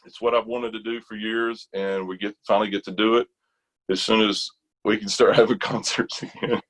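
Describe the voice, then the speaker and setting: Low-voiced American man, informal and rambling. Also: flat delivery, moderate pace, fairly bright tone, poor audio. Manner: rambling, informal, thinks aloud in broken phrases. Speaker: interview guest, bass gospel quartet singer. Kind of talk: casual interview chat.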